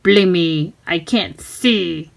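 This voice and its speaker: A blustery pirate man, acted by a woman storyteller, speaks slowly with a growly, drawn-out swagger. He is quarrelsome yet friendly, straight out of a picture book. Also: lowish voice, vocal fry, exaggerated voice. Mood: blustering. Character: blustery male pirate captain and crewmate, quarrelsome but friendly.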